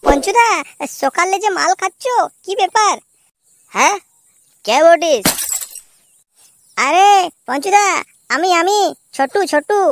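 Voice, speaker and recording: A performer voicing an excitable, crude petty crook in a slapstick cartoon, exclaiming and repeating words. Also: extremely high pitch, measured pace, long pauses, background noise, exaggerated voice. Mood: excited banter. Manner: exclamatory, repetitive, swearing, bantering. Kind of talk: comic cartoon dubbing in colloquial dialect.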